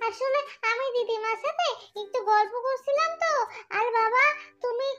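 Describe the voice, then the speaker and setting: A woman's voice: very high-pitched, moderate pace, fairly bright tone, a little hiss or hum. A woman voicing a sweet, innocent little girl in a fairy-tale cartoon, childlike and pleading. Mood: cheerful, curious. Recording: dull lo-fi cartoon track with music.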